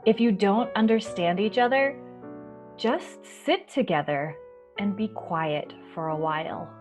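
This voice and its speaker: A woman hosting a children's storytime, reading and explaining in a soft-spoken, reassuring, measured way. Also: medium pitch, music in the background. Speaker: children's library storytime host. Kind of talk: gentle picture-book reading and explaining to children.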